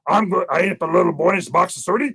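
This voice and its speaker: Guy doing a big hungry storybook bear, loud n slow, real menacing. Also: high voice, gravelly, slightly rough, voice pushed up, full character voice. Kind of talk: oral folktale storytelling.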